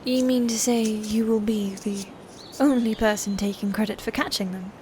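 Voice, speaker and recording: A woman's voice: medium pitch, steady tone, a little mellow, a little hiss or hum, natural voice. A woman playing a proud, playfully cruel female knight in a scripted medieval fantasy audio roleplay, with theatrical, formal old-world phrasing. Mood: indignant. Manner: theatrical, archaic formal phrasing, slow and deliberate.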